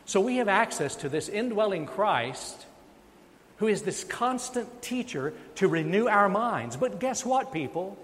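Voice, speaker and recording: A man's voice: high voice, fairly bright tone. A male pastor preaching a sermon, emphatic and rising in volume on key points. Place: church sanctuary. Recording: long reverb of a church sanctuary.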